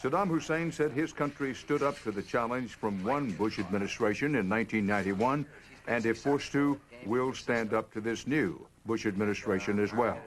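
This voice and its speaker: A man reading a scripted news voice-over in an even reporting tone. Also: mid-range voice, moderate pace. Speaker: news narrator.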